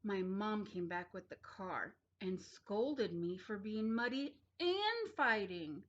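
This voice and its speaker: Lady reading a kids book out loud, takes it really slow, expressive, kinda muffled audio. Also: mid-range voice. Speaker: storybook reader. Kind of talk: expressive children's read-aloud narration.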